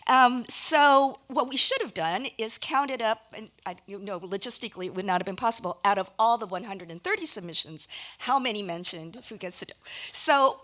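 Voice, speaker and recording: A woman giving a public speech as a contest judge at a live awards ceremony, talking conversationally with hesitant pauses. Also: medium pitch, a bit bright. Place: live event before an audience.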